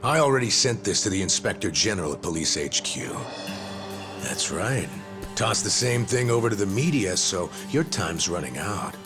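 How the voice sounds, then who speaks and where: An actor playing a sardonic, determined older disgraced cop in a video game drama, with a folksy, taunting way of talking. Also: male voice, low voice, big pitch swings, over music. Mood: triumphant. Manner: taunting, folksy, drawn-out delivery. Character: gruff, sardonic older discharged male cop, smug and determined.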